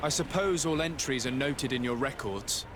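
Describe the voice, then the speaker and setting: A man playing a composed, diplomatic young noble legate in a video game, speaking formally and in measured, articulate phrases. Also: medium pitch, close to an everyday voice. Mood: stern, probing. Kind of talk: video game cutscene acting. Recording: noisy outdoor ambience behind the voice.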